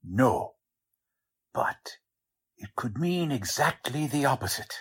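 A male actor plays a gruff police sergeant in a 1940s-style radio drama. His husky voice takes its time but swings widely in pitch. He is blunt, skeptical and talks in folksy cop slang. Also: big pitch swings, takes it slowly, exaggerated voice. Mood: matter-of-fact. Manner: blunt, folksy working-class cop talk.

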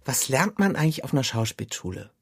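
A man's voice: medium pitch, very animated, fast talker, vocal fry, slightly rough. A man working as an interviewer, asking questions in a casual, conversational way.

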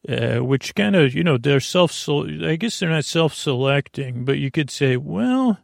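A man with a creaky voice hosting a bedtime podcast, talking in a soothing, meandering, halting monologue that often interrupts itself. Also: fairly high pitch, lively intonation, dry recording, clean studio sound. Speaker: host of a bedtime sleep podcast.

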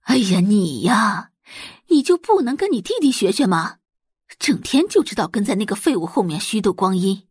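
Scolding middle-aged mother voiced by a female narrator, audio drama: nagging, sighing, swooping pitch, dry close recording. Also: very animated, moderate pace, husky, no room sound. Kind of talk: Chinese audio drama acting.

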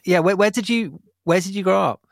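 Male host, slightly high voice, dry room, conversational interviewer. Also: a little fast, gravelly, no room sound. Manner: curious, conversational questioning. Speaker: podcast host. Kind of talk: interview questions.

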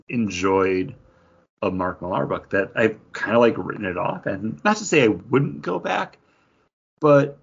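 Male podcast co-host, low and raspy register, rambling conversational review style, dull lo-fi audio. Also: fairly bright tone. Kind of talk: casual chat, book review.

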